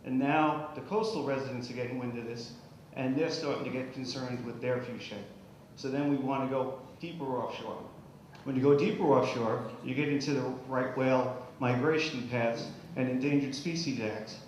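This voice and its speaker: A man's voice: medium pitch, steady tone, normal speed. A man speaking from the audience at a public forum, laying out a question for a panel. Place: public meeting hall. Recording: very echoey hall, faint background noise.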